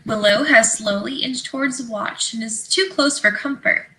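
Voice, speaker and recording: Casting: female stage-directions narrator, crisp scripted read, bright and fairly high, slight background noise. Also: moderate pace, low-quality recording.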